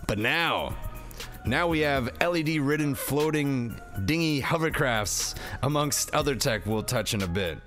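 Guy doing a jokey movie review, slangy and sweary, mid pitched voice, music behind. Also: lively intonation, unhurried, a bit bright. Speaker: review show host. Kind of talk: comedic movie review, part scripted. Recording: music under the voice.

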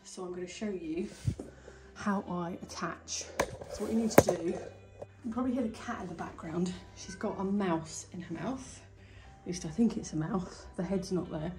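Woman, DIY vlogger, low-ish voice, chatty hands-on tone, recorded on a handheld camera in a home hallway. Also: moderate pace, loud music behind the voice. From United Kingdom. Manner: chatty, thinking aloud while working. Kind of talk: casual hands-on DIY tutorial. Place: indoor hallway and staircase at home. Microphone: handheld phone or camera filming her hands.

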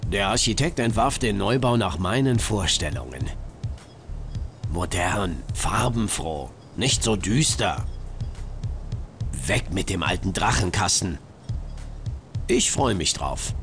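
An actor playing a smug, callous gym owner and developer in a computer adventure game, curt and dismissive. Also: male voice, deep voice, lively intonation, slow, pauses often, a bit bright, full character voice. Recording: game audio with faint music behind.

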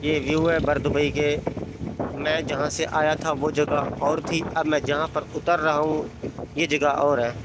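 Excited young man vlogging over loud boat noise. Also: a little high, measured pace. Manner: excited, chatty, repeats himself. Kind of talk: casual travel vlog. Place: outdoors on a busy waterfront and boat. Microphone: phone at arm's length.

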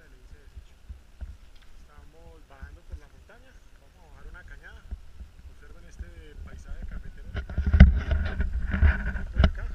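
A middle-aged man with a Colombian accent, calmly narrating his walk along a mountain trail like a tour guide, recorded outdoors on an action camera held at arm's length. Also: on the high side. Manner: calm, admiring tour-guide commentary. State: walking on a trail. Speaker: hiker filming his walk. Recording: distant voice under loud wind noise.

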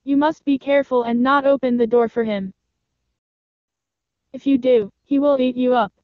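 A storybook mother goat, played by a woman, caring and cautious. Her soft, gentle voice is raised in pitch and smooth, recorded very dry. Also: steady tone, moderate pace, no echo, narrow, tinny audio, natural voice. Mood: caring, warning.

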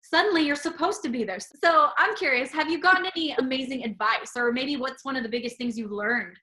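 Bright-voiced woman host, enthusiastic. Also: high-pitched, a little fast, poor audio. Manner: enthusiastic, emphatic. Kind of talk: interviewing a guest over video call. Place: small room indoors. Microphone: webcam on a video call.

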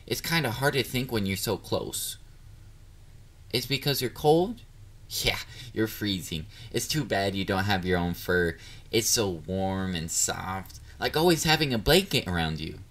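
A man's voice: fairly low pitch, expressive, a little slow, fairly bright tone, exaggerated voice. A man playing a bubbly, bashful catboy boyfriend in an intimate roleplay audio drama, dorky and easily flustered. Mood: flustered, pouting. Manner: playful, dorky, flustered, hesitant. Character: bubbly, bashful young male catboy partner.

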